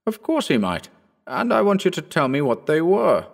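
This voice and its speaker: A man voicing an eager, coaxing young gentleman in a raised voice. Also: fairly high pitch, huge pitch swings, normal speed, creaky, pitched above the performer's own voice, exaggerated voice.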